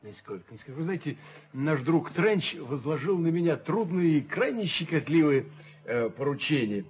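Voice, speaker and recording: An actor playing a fussy, pompous gentleman in a radio play, affected and effusive, repeating his words. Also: on the high side, big pitch swings, moderate pace, husky. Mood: obsequious, effusive. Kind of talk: Soviet radio play acting. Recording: dull, hissy 1970s radio recording.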